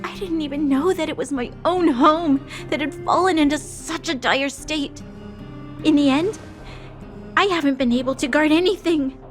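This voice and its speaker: A woman's voice: high-pitched, normal speed, natural voice. A woman playing an earnest, self-doubting young noblewoman in a video game, speaking formally with a hesitant, introspective manner. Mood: ashamed, self-doubting. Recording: music behind the voice.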